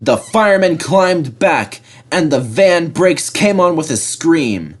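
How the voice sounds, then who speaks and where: A man reading a children's storybook aloud as scripted, expressive narration. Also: on the high side, takes it slowly, slightly rough. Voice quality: animated, expressive delivery. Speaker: story reader.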